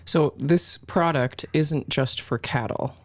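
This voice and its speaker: A man's voice: on the high side, slow pace, no echo. A man hosting a show, asking questions conversationally with an encouraging manner. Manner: encouraging, frequent affirmations. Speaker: podcast host, organization president. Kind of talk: conversational interviewing.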